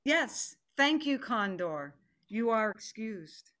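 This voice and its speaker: A woman's voice: fairly high pitch, very animated, fairly bright tone, full character voice. A middle-aged woman playing a formal, stern tiger who presides over an animal council in a play reading on a video call. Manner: ceremonious, deliberate. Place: living room at home.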